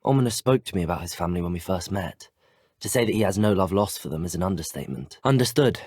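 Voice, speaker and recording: Expressive young British student wizard. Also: male voice, sits low, slightly rough, no room sound, studio quality. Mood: serious, inquiring. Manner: polite, articulate, measured. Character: earnest, polite teenage male student wizard adventurer. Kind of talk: video game character dialogue.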